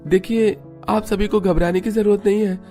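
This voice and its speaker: Guy doing an upbeat sure-of-himself cartoon village dude, really high voice, music behind. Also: fairly even intonation, normal speed, warm tone, lightly acted. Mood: reassuring.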